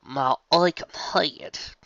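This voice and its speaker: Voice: medium pitch, slow pace, a bit bright, no room sound, lo-fi sound, pitched above the performer's own voice. A reader voicing a flustered, bewildered farm mare who stammers.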